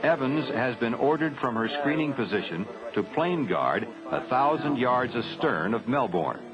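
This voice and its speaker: A man reading scripted training film narration in a formal, measured, matter-of-fact way. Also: mid-range voice, lively intonation, over music. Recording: dull 1970s film soundtrack.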